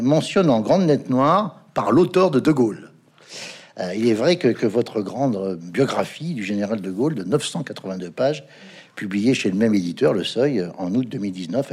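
A man's voice: mid-range voice, a little mellow, husky. A middle-aged man interviewing a guest in a formal manner, with fillers and small hesitations.

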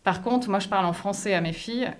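A woman's voice: mid-range voice, fast talker. A woman in her thirties from France, chatting as an interview guest, hesitant with many fillers. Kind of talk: casual interview chat.

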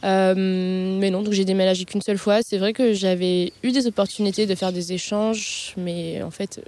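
A young woman in her mid-twenties from Lebanon talks over a handheld mic while sitting on the grass in a park. Her voice is mid-pitched, and she hesitates often, filling gaps and restarting her sentences. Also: level tone, normal speed, darkish tone. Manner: hesitant, many fillers and restarts. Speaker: interview guest. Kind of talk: casual interview chat.

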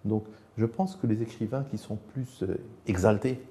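A man with a fairly deep, mellow voice leads a conversational interview. He speaks reflectively, laying out ideas step by step and repeating phrases to refine them. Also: normal speed, slight background noise. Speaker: interviewer, host.

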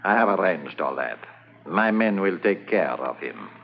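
An actor playing a suave foreign smuggling boss who speaks with cold, formal politeness, in 1950s radio drama style. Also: male voice, deep voice, big pitch swings, a little slow, exaggerated voice. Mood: menacing polite. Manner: smooth, coldly polite, formal. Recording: dull lo-fi 1950s radio transcription with hiss.

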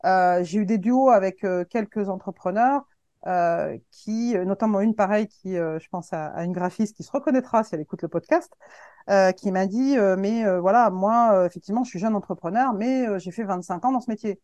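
A woman in her fifties, a guest on a podcast, speaking in a casual, earnest and encouraging way, halting often to restart her sentences. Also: mid-range voice, dark tone, no echo. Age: 50s. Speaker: guest, web agency founder.

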